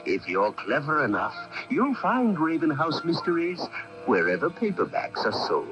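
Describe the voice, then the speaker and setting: A man's voice: fairly high pitch, wildly swooping pitch, normal speed. A man reading a dramatic scripted radio advertisement as its announcer. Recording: dull radio broadcast with music.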